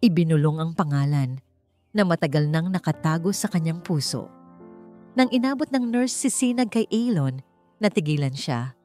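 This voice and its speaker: A woman reads scripted, dramatic romance narration in a measured manner. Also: low-pitched, expressive, a little mellow. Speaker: story narrator. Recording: music behind the voice. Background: background music.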